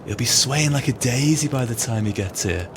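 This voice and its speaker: Actor as cold, scheming swindler, noisy background. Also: male voice, mid-range voice, expressive, moderate pace, natural voice. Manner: wry, scheming, colourful phrasing. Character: cold, calculating male swindler plotting murder. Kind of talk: audio play acting.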